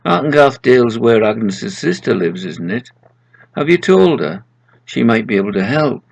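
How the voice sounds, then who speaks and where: A man's voice: mid-range voice, sing-song, normal speed, vocal fry, husky, heavily acted. A man voicing a kindly, practical woman who escorts souls to heaven in a story. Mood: kind, brisk.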